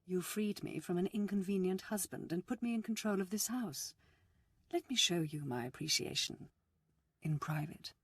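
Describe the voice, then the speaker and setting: An actress playing a scheming, seductive, imperious middle-aged noblewoman in a sci-fi game, with a silky, husky, commanding delivery. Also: lowish voice, expressive, normal speed, no room sound. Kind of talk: video game voice acting.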